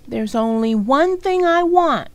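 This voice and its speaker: A woman voicing an excitable, bossy little preschool girl for a storybook, with an emphatic, insistent manner. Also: high voice, takes it slowly, no echo, faint music behind, voice pushed up, exaggerated voice. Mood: firm, insistent.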